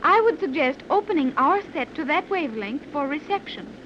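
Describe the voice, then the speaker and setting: A woman playing a calm, precise young daughter in a 1930s radio serial, speaking in formal, exact phrases. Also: sits high, brisk. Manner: formal, stilted, exact phrasing without contractions. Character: calm, precise young daughter with advanced scientific know-how. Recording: muffled, hissy 1930s radio recording.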